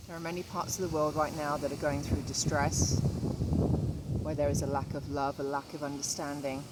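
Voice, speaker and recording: Even-toned woman guiding meditation, noisy background. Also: deep voice. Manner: gentle measured delivery with frequent pauses. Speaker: meditation guide. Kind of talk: guided meditation.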